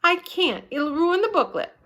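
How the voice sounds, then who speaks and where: A bossy schoolgirl from a storybook, acted out by a woman reader. The voice jumps high and swings widely, full of dramatic, indignant protest. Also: big pitch swings, moderate pace, a bit bright, full character voice. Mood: indignant, upset.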